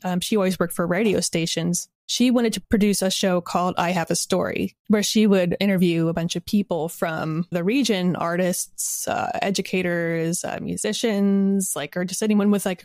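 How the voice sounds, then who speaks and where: A woman's voice: fairly low pitch, darkish tone, no echo, clean studio sound. Woman podcast guest, chatting informally. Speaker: podcast guest, audio drama creator. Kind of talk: casual interview conversation.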